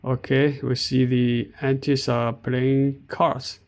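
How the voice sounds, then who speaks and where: Chinese-accented male vlogger, middle-aged, unhurried and gravelly, outdoors. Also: medium pitch, level tone. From China. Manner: slow and halting, with many fillers. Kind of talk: walking tour narration. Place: outdoor park.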